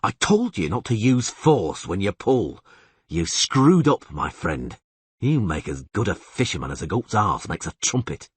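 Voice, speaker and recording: A man voicing a gruff, curt male monster hunter who scolds bluntly and dryly in an audiobook. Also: fairly low pitch, sing-song, measured pace, no echo, heavily acted. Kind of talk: audiobook character voice.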